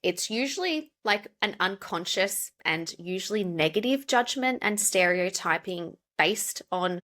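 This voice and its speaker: An Australian woman, a pronunciation coach, explaining clearly and steadily as a podcast guest. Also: lowish voice, a bit bright. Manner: explanatory, measured. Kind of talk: podcast interview.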